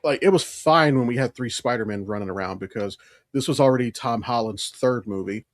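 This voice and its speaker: An American man with a mid-pitched voice talks casually as a podcast co-host. He rambles and stops to think aloud, and the recording is dry with very little room sound. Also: a little fast, no room sound. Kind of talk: casual chat about comics and films.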